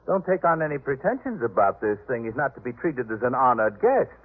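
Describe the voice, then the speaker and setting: An actor playing a proud, disdainful master of a biblical household in a 1950s radio drama, with haughty, emphatic delivery. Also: male voice, medium pitch, sing-song, a little fast, husky. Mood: haughty, commanding. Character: proud, indignant, disdainful male head of household in biblical times. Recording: muffled narrowband 1950s radio recording.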